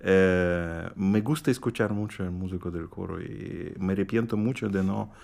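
Man, very low voice, halting, searches for words, lots of fillers. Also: creaky, a little mellow. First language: Russian. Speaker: guest pianist. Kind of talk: halting interview answers in a second language.

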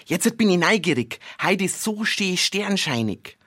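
A man reading out a lively young barmaid, teasing and light. The voice sits a little higher than his own and is dry and close, with no room around it. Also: a little slow, dry recording, exaggerated voice.

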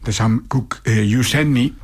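A man's voice: medium pitch, measured pace, a little mellow, husky. A man from hosting a music radio show, chatting in a rambling way full of restarts. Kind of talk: conversational radio presenting.

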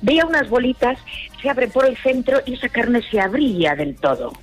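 A woman's voice: a little high, animated, brisk, faint music behind. A woman telling an anecdote in casual radio chat, explaining hesitantly and checking with her listeners.

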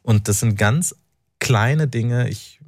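A man's voice: mid-range voice, slow pace, darkish tone, dry recording, clean studio sound. A man from North Rhine-Westphalia, an author speaking reflectively as a radio interview guest, often searching for words. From North Rhine-Westphalia, Germany. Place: radio studio.

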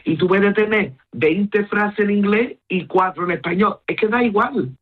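A woman's voice: medium pitch, a little slow. A middle-aged woman from Gibraltar with a Gibraltarian Llanito accent, chatting in an interview and switching between Spanish and English mid-sentence. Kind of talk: casual conversational interview. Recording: tinny phone-like line.

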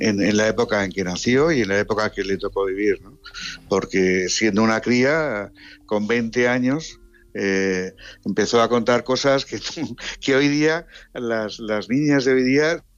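A mature man with a Spain Spanish accent, a guest talking conversationally in an interview, often repeating words as he goes. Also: fairly low pitch. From Madrid or Murcia, Spain. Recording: dull, band-limited remote line.

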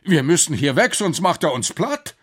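A man voicing an excitable, enthusiastic eccentric professor, exclaiming eagerly in a children's radio story. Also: mid-range voice, unhurried, pitched above the performer's own voice. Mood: urgent.

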